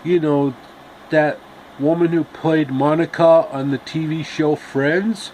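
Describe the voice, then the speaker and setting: Man playing a slow, wistful tin man. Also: a little high, steady tone, a little mellow, a little hiss or hum, low-quality recording. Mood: forlorn. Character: wistful, chatty tin man pining for lost love.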